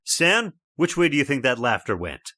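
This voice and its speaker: A man's voice: medium pitch, sing-song, moderate pace, bright, forceful tone, no echo, studio quality. An actor playing a stubborn, skeptical middle-aged father in a radio horror drama, scornful and dismissive. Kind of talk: old-time radio drama acting.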